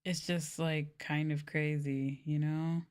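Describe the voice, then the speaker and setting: A young adult woman chatting casually on a livestream meant as soft ASMR, in a conversational, apologetic venting way. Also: low voice, little pitch movement, slow pace. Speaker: streamer, ASMR VTuber.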